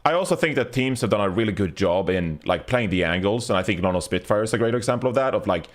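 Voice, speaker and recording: A man's voice: on the low side, expressive, fast. A man co-hosting an esports podcast, analysing the game in casual chat.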